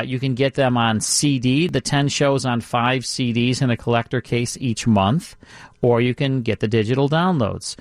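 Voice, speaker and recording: A man's voice: mid-range voice, no room sound. American male radio host, dry studio sound. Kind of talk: casual radio chat and promotion.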